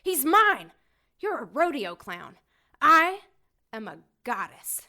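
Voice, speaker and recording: A possessive, vain woman who thinks herself a goddess. Her voice is high and sharp, swinging theatrically through haughty, cutting radio-play delivery. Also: very animated, takes it slowly, exaggerated voice. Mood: furious, possessive. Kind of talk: audio drama acting.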